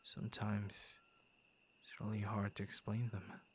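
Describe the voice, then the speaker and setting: Soft shy werewolf boy guy acting it for asmr, breathy half whisper, pretty even tone, takes his time, stammers a bit. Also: fairly low pitch, unhurried, slight background noise, close to an everyday voice. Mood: soothing, sympathetic. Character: gentle, shy young male werewolf comforting a stranger. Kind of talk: ASMR roleplay, intimate soft-spoken acting.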